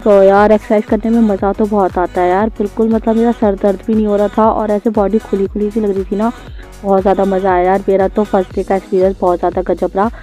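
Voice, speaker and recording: A woman's voice: medium pitch, little pitch movement, quick pace, warm tone. A woman, giving a casual, chatty voice-over for a daily vlog. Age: 20s. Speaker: vlogger. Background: faint music.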